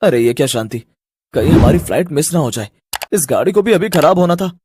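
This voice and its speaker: A man voicing a fretful, talkative husband in a cartoon, with a husky, expressive delivery. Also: on the high side, fairly quick, background noise, close to an everyday voice. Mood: worried.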